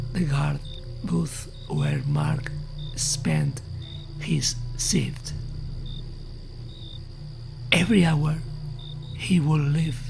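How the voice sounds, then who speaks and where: Very slow, low, gravelly female storyteller. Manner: measured, suspenseful. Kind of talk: scripted horror story narration.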